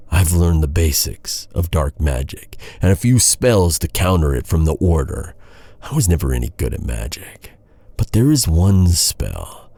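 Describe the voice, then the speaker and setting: An actor playing an embittered, vengeful knight in a dark fantasy audio roleplay, brooding and intense, with halting dramatic delivery. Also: male voice, sits low, slightly rough, no room sound, heavily acted. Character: vengeful, embittered male knight interrogating his captive enemy.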